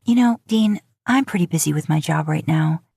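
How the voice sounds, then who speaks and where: A woman's voice: deep voice, normal speed, gravelly, darkish tone, dry recording, clean studio sound. A woman voicing a cautious, flustered young woman with a hesitant, guarded manner in audiobook dialogue. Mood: gently declining.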